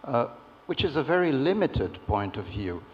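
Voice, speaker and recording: A man's voice: mid-range voice, very animated, moderate pace. A man on a conference panel who speaks hesitantly, with frequent fillers. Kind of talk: panel discussion answer. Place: conference hall. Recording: muffled audio in a reverberant hall.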